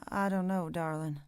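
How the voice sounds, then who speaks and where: A woman's voice: lowish voice, background noise. A woman playing a protective farm wife and mother in an audio drama, soothing yet firm.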